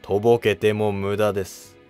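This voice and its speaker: A man's voice: lowish voice, flat delivery, warm tone, clear, resonant tone, faint music behind, natural voice. Man voicing a guarded, wily court minister. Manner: formal, measured, evasive. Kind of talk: historical drama animation.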